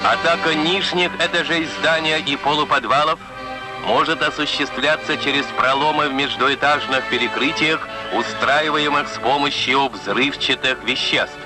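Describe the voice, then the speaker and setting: A man's voice: on the high side, normal speed. A man narrates a 1950s Soviet military training film in a formal, declarative, instructional manner. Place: voice-over recording for a film. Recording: 1950s film soundtrack, loud music and battle noise. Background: loud music, gunfire and artillery.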